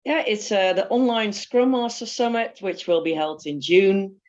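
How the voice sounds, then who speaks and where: A woman's voice: mid-range voice, moderate pace, darkish tone. A woman introducing herself as an agile coach, speaking hesitantly with many fillers. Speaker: agile coach, track host. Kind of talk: casual self-introduction. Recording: dull lo-fi audio.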